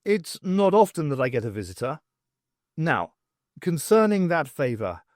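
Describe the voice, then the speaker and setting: A man's voice: on the high side, sing-song, normal speed, darkish tone, dry recording, clean studio sound. A man voicing a courteous senior male academic in an audiobook, with formal, ornate manners. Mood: apologetic.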